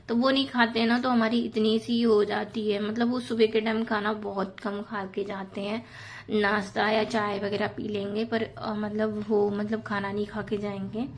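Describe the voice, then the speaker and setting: A woman in her thirties with a hoarse, sore throat, chatting casually to viewers about her housework in a voice-over. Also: mid-range voice, flat delivery, moderate pace. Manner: chatty, many fillers, addresses viewers as friends. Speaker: home vlogger.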